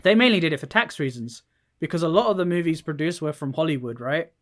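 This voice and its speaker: A man's voice: fairly high pitch, animated, fast, fairly bright tone. A man co-hosting a podcast, explaining things casually with some swearing. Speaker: podcast co-host. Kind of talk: casual podcast chat about games and films.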